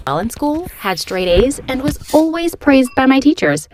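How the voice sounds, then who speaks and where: A young woman tells a scripted first-person story with expressive, confiding delivery. Her voice sits somewhat above the usual pitch for a woman. The narration is clean and polished. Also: moderate pace.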